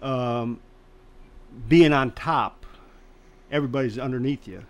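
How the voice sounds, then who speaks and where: Older man from Chicago, chatty storyteller, mid-pitched voice, animated delivery. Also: unhurried. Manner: animated, laughs through his stories. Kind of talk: casual storytelling.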